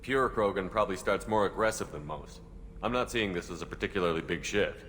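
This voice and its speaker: Game lead: deep-voiced commander, quick and matter-of-fact, steady authority. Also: male voice. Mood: steady, concerned. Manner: matter-of-fact, brief statements. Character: steady, authoritative human starship commander, male. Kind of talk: video game voice acting. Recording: long reverb, faint background noise.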